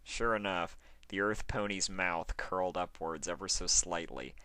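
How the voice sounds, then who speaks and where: A man reading fan fiction aloud as scripted, expressive story narration. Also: sits low, unhurried, smooth, no room sound.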